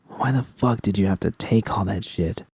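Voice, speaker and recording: A man voicing a blunt, sharp-tongued young woman mercenary who is worried and frustrated, in an audiobook story. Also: fairly low pitch, moderate pace, breathy edge, dry recording, studio quality. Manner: blunt, colloquial, swearing.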